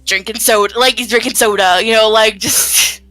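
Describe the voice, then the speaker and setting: A woman's voice: fairly high pitch, fairly even intonation, normal speed. A woman chatting casually on a game stream as a developer, rambling and self-deprecating, with casual swearing. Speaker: indie visual novel developer. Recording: music playing behind the voice.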